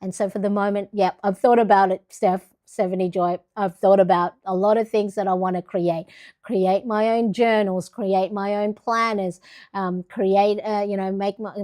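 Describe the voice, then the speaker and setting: A woman's voice: medium pitch, a little mellow. A middle-aged woman from the Philippines chatting casually on a livestream, rambling with lots of fillers and restarts. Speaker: planner livestream host.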